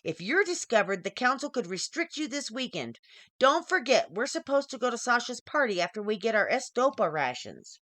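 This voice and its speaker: A woman's voice: fairly high pitch, sing-song, moderate pace, a bit bright, no room sound, studio quality. Woman reading as a disapproving, cautious female friend. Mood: warning. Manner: scolding, warning. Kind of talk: acted dialogue in short story reading.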